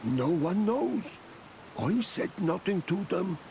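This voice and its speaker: A halting, mournful blind villager, slow and creaky. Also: male voice, high voice, big pitch swings, darkish tone, slight background noise, exaggerated voice. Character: despairing blind herb gatherer, proud male villager. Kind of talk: video game cutscene acting.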